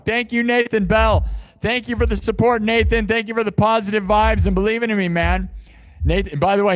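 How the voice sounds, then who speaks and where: A man hosting a music livestream, chatting casually and slangily with his viewers and giving hyped shout-outs. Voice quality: bass singing voice.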